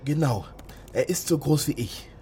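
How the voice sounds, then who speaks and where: A man's voice: on the high side, moderate pace, mellow, a little hiss or hum, close to an everyday voice. An actor playing a wary young man living illegally, in naturalistic radio drama dialogue and inner monologue. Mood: focused. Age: young adult.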